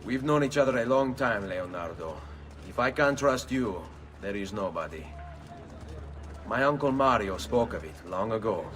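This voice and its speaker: An actor playing a brooding, vengeful young Italian assassin in a video game, speaking gravely with an Italian accent. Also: male voice, lowish voice, animated, moderate pace, fairly bright tone, recorded from a distance. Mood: earnest, intent. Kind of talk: video game cutscene acting.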